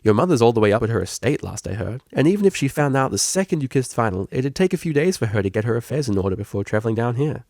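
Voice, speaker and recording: Man voicing a lively, kindly stallion tutor. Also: mid-range voice, quick pace, few pauses, no echo, clean studio sound, close to an everyday voice. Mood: reassuring. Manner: encouraging, informal, lively. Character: energetic kindly male pony tutor, understanding, playful. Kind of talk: fan fiction audiobook character reading.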